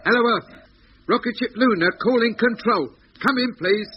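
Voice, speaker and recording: An actor playing a chirpy, anxious rocket radio operator in a 1950s radio drama. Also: male voice, extremely high pitch, moderate pace. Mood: eager, dutiful. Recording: dull, noisy 1950s radio recording.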